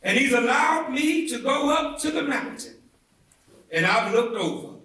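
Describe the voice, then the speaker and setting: A man giving a dramatic, oratorical reading of a speech, with deliberate, measured phrasing and emphatic swells. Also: extremely high pitch, animated, bright, forceful tone. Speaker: reader at a commemorative event. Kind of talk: dramatic reading of a historic civil rights speech. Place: indoor theatre event, heard from a distance. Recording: echoey indoor hall, far from the mic.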